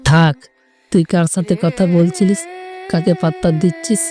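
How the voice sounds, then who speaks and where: A man's voice: fairly high pitch, steady tone, normal speed, mellow, soft background music, natural voice. A man playing a brooding, jealous young village man in love in an audio romance drama, restrained and sullen.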